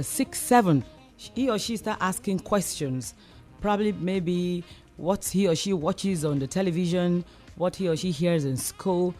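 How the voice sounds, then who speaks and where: A woman's voice: lowish voice, darkish tone. A woman with a Nigerian accent speaking as a discussion guest, explaining earnestly and repeating phrases for emphasis. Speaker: discussion guest, community advocate.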